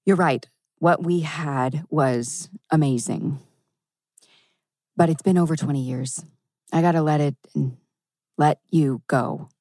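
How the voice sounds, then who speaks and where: A woman's voice: low voice, slow, pauses often, a little mellow, no room sound, clean studio sound. A woman playing a resigned middle-aged old flame on the run, in a natural dramatic table read.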